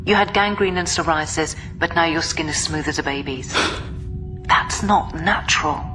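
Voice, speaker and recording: Actress as a low-voiced, guarded medical keeper. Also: female voice, close to an everyday voice, rough recording. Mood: clinical. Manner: measured, restrained, clinical. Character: guarded, concerned female medical keeper of a quarantined patient. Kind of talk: radio drama acting. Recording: radio drama with clear music underneath.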